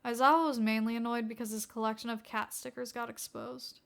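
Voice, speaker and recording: Woman, high pitch, bright tone, expressive story narration, fan fiction reader. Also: a little fast, creaky.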